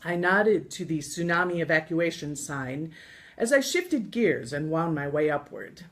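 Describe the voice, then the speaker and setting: An American woman reading her own scripted travel memoir aloud in an expressive storytelling manner, with deliberate pauses. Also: low voice. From United States. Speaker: author reading from own book.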